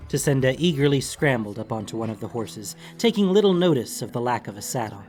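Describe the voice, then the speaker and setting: A man's voice: mid-range voice, animated, fairly quick, darkish tone. A man reading a fantasy story as a scripted, dramatic audiobook narration. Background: background music.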